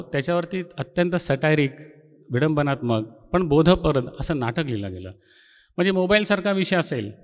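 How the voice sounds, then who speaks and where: A middle-aged man gives a ceremonial speech as a college teacher, reminiscing and explaining in an oratorical way. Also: fairly high pitch. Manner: explanatory, poses rhetorical questions and answers them. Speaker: college faculty member giving an inaugural speech. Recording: long hall reverb.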